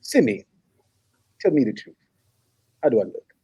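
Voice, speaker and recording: A man playing a polite, naive young man looking for love in a comedy table read, with a husky voice. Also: mid-range voice, very animated, moderate pace, mellow. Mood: curious.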